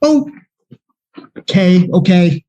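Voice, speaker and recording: A man's voice: sits high, lively intonation, takes it slowly, mellow, highs cut off. A hapless would-be tough guy voiced by an actor who wants to be called Ace. He is eager and wheedling, a man in the middle register. His lines carry old-time radio-play charm. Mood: pleading. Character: vain male private eye wanting to be called Ace. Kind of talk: 1940s radio acting.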